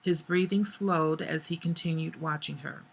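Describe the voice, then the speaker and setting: A hoarse woman, an author, casually reading her own novel aloud, chatty with pauses. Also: sits low, fairly even intonation, low-quality recording.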